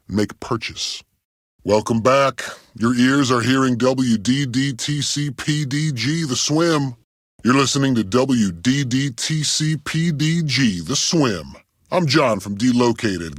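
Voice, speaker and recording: An actor playing a snarky, awkward radio DJ in a video game, talking in a deadpan, rambling comedic patter. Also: male voice, on the low side, no echo, clean studio sound. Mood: deadpan, smug. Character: snarky, awkward adult man hosting a radio show. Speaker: radio DJ character.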